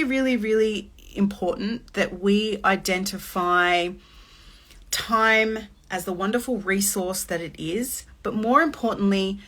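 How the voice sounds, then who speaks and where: A woman in her late thirties hosting a chatty, expressive motivational live-stream talk. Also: medium pitch. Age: 30s. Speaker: host.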